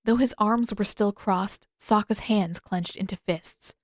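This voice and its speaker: A woman reading scripted fiction as a narrator, with expressive, dramatic emphasis. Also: mid-range voice, a little slow, no room sound, studio quality. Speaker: audiobook-style fan fiction reader.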